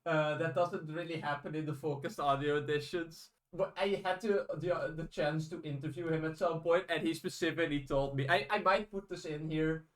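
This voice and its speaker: A man's voice: fairly high pitch, steady tone, fairly quick, few pauses. A native Dutch man speaking English as a podcast host, casual and enthusiastic.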